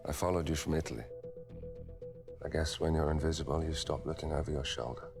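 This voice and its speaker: An actor playing a cold, calculating schemer, with a gravelly voice and a deliberate, terse, quietly threatening delivery. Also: male voice, fairly even intonation, music in the background. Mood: calm threat. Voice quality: very deep, gravelly. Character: cold, menacing, calculating male shadowy schemer.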